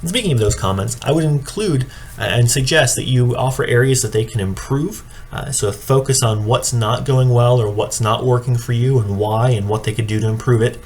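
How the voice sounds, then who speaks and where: A man giving an instructional presentation like a coach, explaining in a measured, matter-of-fact way. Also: mid-range voice, a little mellow. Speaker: speech and debate coach training judges. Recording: clearly audible background noise.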